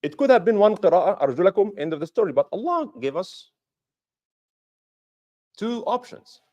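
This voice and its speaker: A man's voice: high-pitched, big pitch swings, moderate pace, a little mellow, lo-fi sound. A man giving a mosque lecture as imam, explaining patiently with rhetorical questions and repeating key points for emphasis.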